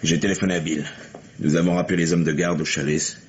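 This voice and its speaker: An actor playing a steady, commanding police lieutenant with a measured delivery in an old-fashioned French radio mystery. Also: male voice, sits low, close to an everyday voice. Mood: sober, reporting. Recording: dull, noisy old radio recording.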